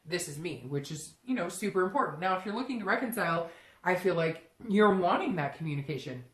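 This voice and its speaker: A woman's voice: lowish voice, lively intonation, fairly quick. A woman giving a casual, unscripted tarot reading straight to the listener, conversational and often slipping into imagined first-person quotes. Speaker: tarot reader hosting a reading. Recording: roomy, echoing room sound.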